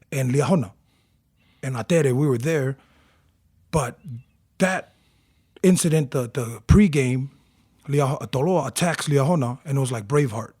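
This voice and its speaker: A man in his forties from Tonga, telling animated stories about his youth as an interview guest. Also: medium pitch, husky, no echo, studio quality. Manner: animated storyteller, acts out quotes. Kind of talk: casual storytelling interview.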